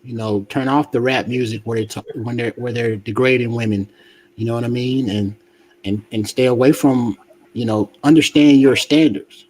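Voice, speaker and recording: A man casually giving relationship advice in a colloquial, halting way, often repeating himself. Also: medium pitch, fairly even intonation, a little mellow, poor audio.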